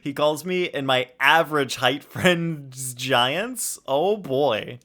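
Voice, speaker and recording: A man's voice: medium pitch, slow pace, sharp tone. A young man streaming a game, chatting casually and playfully with viewers and joking as he reacts. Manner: playful, jokey, reads chat aloud.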